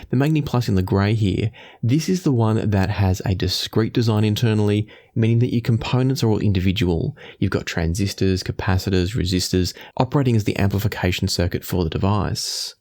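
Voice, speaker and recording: A man's voice: fairly low pitch, a little mellow. A man hosting a product review, explaining things thoroughly in a casual, conversational way.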